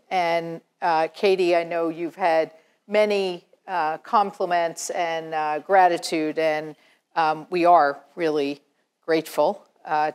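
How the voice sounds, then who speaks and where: A middle-aged woman moderating a panel off the cuff, in a measured, pausing manner. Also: fairly low pitch. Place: lecture hall.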